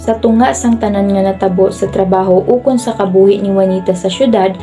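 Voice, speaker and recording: Filipino-accented woman narrator, mid pitch. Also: fairly even intonation, brisk. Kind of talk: scripted radio drama narration. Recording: music bed behind the voice.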